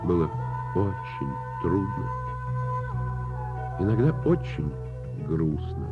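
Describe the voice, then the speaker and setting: A man with a husky voice narrates a children's fairy tale as a radio play, theatrically and unhurriedly, drawing out words. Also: low voice, very animated, slow. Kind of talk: expressive radio storytelling for children. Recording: muffled lo-fi radio recording. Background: music.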